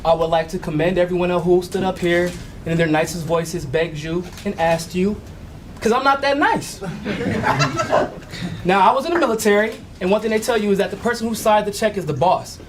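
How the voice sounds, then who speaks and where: A man's voice: high voice, brisk. A blunt, confrontational man speaking angrily during public comment at an election board meeting, repeating himself as he pushes back. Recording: loud crowd and noise around.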